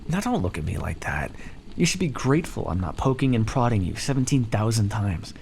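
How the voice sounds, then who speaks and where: A man playing a calm, condescending vampire captor in an ASMR roleplay, teasing and coaxing as he talks. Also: lowish voice, brisk, vocal fry, a little hiss or hum. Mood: dry, grudging. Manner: teasing, patronizing, coaxing, hesitant stammers. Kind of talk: ASMR roleplay, scripted monologue.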